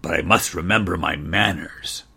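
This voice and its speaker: A man's voice: low-pitched, moderate pace, gravelly, pushed and bright, slightly rough, full character voice. A man voicing an eager, wistful young pet dog in a storybook, with an earnest, innocent manner. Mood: excited.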